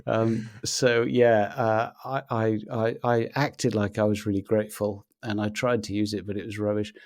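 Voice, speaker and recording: An older man, raised in Wales, talking as a guest in a relaxed interview. His voice sits fairly low, and he hedges and restarts now and then, playing himself down with a dry modesty. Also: moderate pace, no echo. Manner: self-deprecating, hesitant with fillers. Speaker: guest photographer. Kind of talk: casual interview chat.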